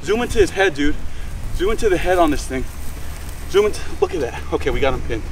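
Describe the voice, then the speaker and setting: A young man hosting an outdoor fishing vlog by a wetland canal, talking excitedly to the camera and shouting exclamations. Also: fairly high pitch, fast, far from the mic, a little hiss or hum, rough recording. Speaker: fishing channel host. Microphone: camera mic from a distance.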